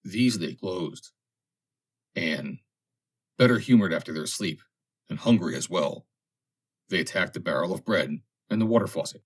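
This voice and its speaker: A man's voice: deep voice, expressive, measured pace, long pauses. A man reading a story aloud as an audiobook narrator, in a dramatic scripted style.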